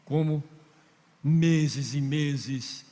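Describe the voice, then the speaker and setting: A man preaching a sermon from Northeast Brazil, telling stories, acting out quoted voices and building to shouts. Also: a little high, drawn-out words. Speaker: pastor. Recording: long reverb of a large hall.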